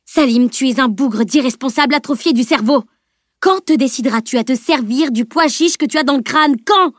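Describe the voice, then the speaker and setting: A woman voicing a bossy, sharp-tongued teenage girl with a commanding, sarcastic delivery in an audiobook. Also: on the high side, normal speed, no room sound, clean studio sound, natural voice. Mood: exasperated. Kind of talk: dramatised audiobook dialogue.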